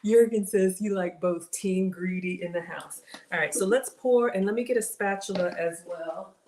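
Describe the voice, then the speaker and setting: A middle-aged woman with a slightly raspy voice, chatting casually to viewers while she cooks in her home kitchen. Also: medium pitch, moderate pace. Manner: chatty, talks to viewers as y'all. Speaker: cooking livestream host. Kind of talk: casual live cooking chat with viewers. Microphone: fixed camera at counter. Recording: echoey kitchen with clear background noise.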